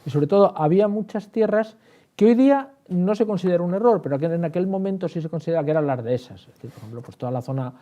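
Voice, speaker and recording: A man explains things as an interview guest. He talks fast in a very dark, mellow voice, doubling back on words, and the sound is slightly dull. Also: sits high. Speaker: historian and writer, interview guest. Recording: dull, band-limited sound.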